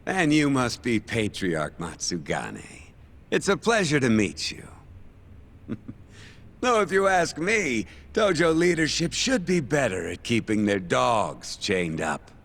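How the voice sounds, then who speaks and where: Gravelly, slow, mocking gangster villain. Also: male voice, medium pitch, very animated, fairly bright tone, exaggerated voice. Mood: mocking welcome. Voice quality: creaky, gravelly. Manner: slow, theatrical, swooping, chuckling menace. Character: smug, mocking male gangster villain, falsely courteous. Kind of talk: dramatic video game cutscene acting.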